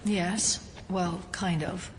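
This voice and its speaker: A woman's voice: lowish voice, steady tone, extremely slow, background noise. An actress in an audio drama playing an earnest, level-headed female federal agent who is also a doctor. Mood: confused, struggling.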